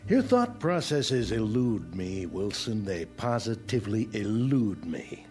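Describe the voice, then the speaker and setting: An actor playing a stern, easily exasperated general in a radio drama, speaking formally. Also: male voice, on the low side, sing-song, slow pace, a little mellow, soft background music.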